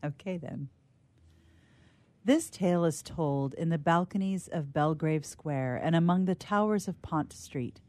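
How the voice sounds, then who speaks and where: A woman reading a literary tale aloud as a storyteller, in a measured, theatrical manner. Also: low voice, a little mellow, dry recording.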